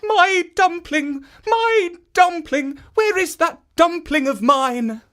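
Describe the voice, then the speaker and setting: A man voicing a funny, fearless little old woman in a children's story, shrill and wailing with stretched-out words. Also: animated, slow, sharp tone, heavily acted. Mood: wailing, searching.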